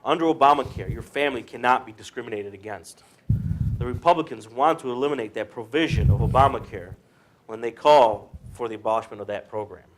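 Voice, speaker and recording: A man's voice: mid-range voice, fairly quick, slight background noise, natural voice, rough recording. A young man playing an earnest senator, giving an emphatic, explanatory debate speech in readers theater. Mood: earnest, arguing.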